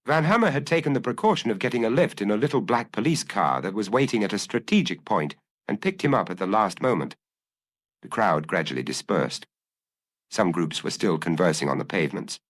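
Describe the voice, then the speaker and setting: A man narrating a detective story as an audiobook, in a measured, literary storytelling manner. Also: lowish voice, lively intonation, no echo.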